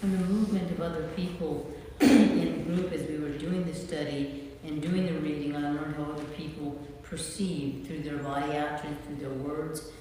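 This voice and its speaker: A man's voice: fairly high pitch, fairly even intonation, moderate pace, dark tone. A man giving an unscripted reflection to a group as a lay cast member. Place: church. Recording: very echoey church, distant mic, faint noise.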